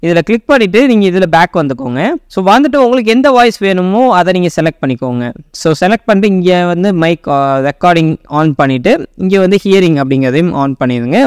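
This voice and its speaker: A young man with a Tamil accent narrating a software tutorial, addressing viewers directly and mixing in English terms. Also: high voice, smooth, no room sound, clean studio sound. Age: young adult. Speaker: tech tutorial presenter.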